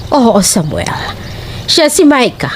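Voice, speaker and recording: A woman playing a welcoming, middle-aged landlady in a Tagalog horror audio drama, with a hospitable, drawn-out delivery. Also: a little high, huge pitch swings, exaggerated voice. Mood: curious, chatty. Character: welcoming older landlady of an apartment house. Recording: noticeable background noise.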